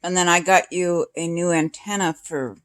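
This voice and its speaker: A woman's voice: fairly low pitch, steady tone, normal speed, a bit bright. An older woman in casual chat, asking curious questions and giving short reactions. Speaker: interviewer questioning the other speaker.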